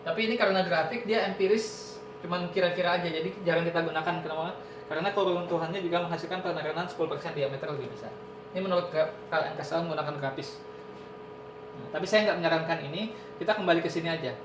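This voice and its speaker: A man giving an online university lecture, explaining step by step and often saying "nah" and "ini". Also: very fast. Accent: Indonesian. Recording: echoey room with noise and rumble.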